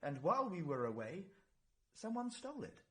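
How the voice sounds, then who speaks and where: An actor playing an anxious young murder suspect, flustered and defensive, in a vintage radio drama. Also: male voice, high voice, sing-song, moderate pace, bright, forceful tone, exaggerated voice. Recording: noisy vintage radio recording.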